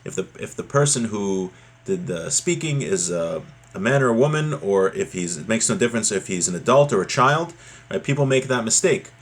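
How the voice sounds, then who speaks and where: Husky-voiced man teaching a religious lesson. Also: lowish voice, expressive, moderate pace. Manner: conversational, explanatory, checks in with "right?". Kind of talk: informal religious lesson, reading a text aloud then explaining it.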